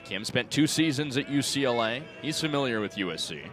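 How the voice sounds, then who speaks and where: A man in his twenties calling baseball play-by-play for radio in crisp, clipped phrases that lift on the action. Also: medium pitch, lively intonation, a little fast, fairly bright tone. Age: 20s. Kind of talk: live radio sports commentary. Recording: outdoor ballpark crowd behind voice. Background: ballpark crowd.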